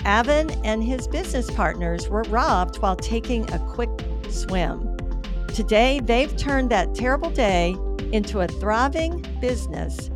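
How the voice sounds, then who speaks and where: A woman hosting a podcast who reads a polished introduction smoothly, then chats with her guest. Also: on the high side, lively intonation, a little slow. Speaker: podcast host. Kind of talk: scripted introduction, then interview chat. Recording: music clearly behind the voice. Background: music.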